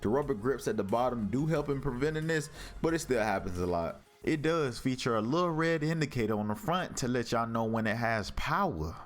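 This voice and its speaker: A man with a deep voice giving a scripted product review from home, casually addressing his viewers as y'all, recorded on a budget USB desk mic. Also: expressive, fairly quick, few pauses, soft background music. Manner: casual, calls the audience y'all. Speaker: tech reviewer. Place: home room with carpet and a PC. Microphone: budget USB condenser desk mic.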